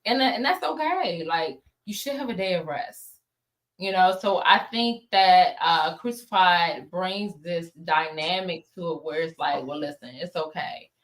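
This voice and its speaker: A woman's voice: on the low side, animated, unhurried. An American woman talking casually as an interview guest, informal and emphatic, repeating words for emphasis. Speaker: interview guest, playwright and producer.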